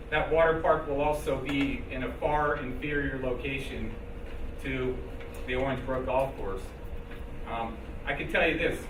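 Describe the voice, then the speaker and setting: A man presenting a development project at a civic meeting, informal and halting with restarts. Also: medium pitch. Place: meeting hall. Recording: echoey hall, far from mic, noisy.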